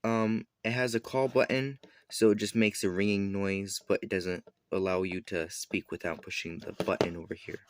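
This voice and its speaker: A man with a fairly low voice talks through a product review in an informal, unscripted way. He explains step by step, a little haltingly, often backing up to correct his wording. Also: level tone. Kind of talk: casual unscripted product demonstration.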